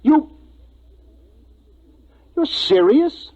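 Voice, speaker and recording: An actor playing an impatient, commanding space survey commander in 1950s radio drama style. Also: male voice, very high voice, very animated, extremely slow, dark tone, full character voice. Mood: stern, probing. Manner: commanding, clipped, exasperated when crossed. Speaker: lead actor and narrator. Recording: muffled 1950s radio broadcast with music.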